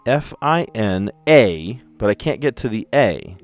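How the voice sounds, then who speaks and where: A lowish-voiced man with a general American accent talks his way through word puzzles on a livestream, musing aloud in a relaxed, chatty way. His diction is deliberate and careful, with the odd filler as he thinks. Faint music plays behind him. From US East Coast. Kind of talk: casual thinking aloud while solving puzzles.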